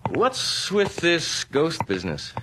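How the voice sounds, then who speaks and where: A man acting a sharp, excitable investigator in a 1980s radio drama, with a North American accent and a wry, insistent, questioning manner. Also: on the high side, takes it slowly, exaggerated voice. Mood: uneasy, alert. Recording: noisy old radio drama recording.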